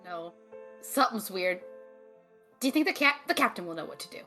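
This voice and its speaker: A woman improvising in a tabletop role-playing game, talking in a halting, stammering way. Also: mid-range voice, lively intonation, pushed and bright, faint music behind. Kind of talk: improvised character acting and casual play.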